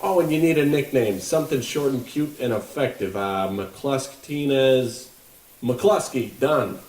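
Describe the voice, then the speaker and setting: A man playing a gruff, bossy, oblivious baseball coach in an old-radio comedy, blustering in old-fashioned slang. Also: mid-range voice, measured pace, a little mellow, lightly acted. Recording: roomy, slightly distant, faint noise.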